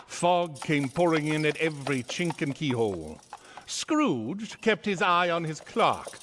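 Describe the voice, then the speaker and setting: A man narrating a story theatrically in a wry, formal, deliberate way, in an echoey theater hall. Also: a little high, sing-song, a bit bright, a little hiss or hum. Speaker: stage narrator and presenter. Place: theater stage.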